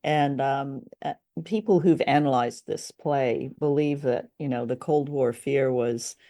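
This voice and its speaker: A woman's voice: a bass voice, measured pace, dark tone. A woman co-hosting a chat about old radio drama, talking in a casual, somewhat hesitant conversational way. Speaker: co-host of an old-time radio drama discussion show. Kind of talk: casual chat, review commentary.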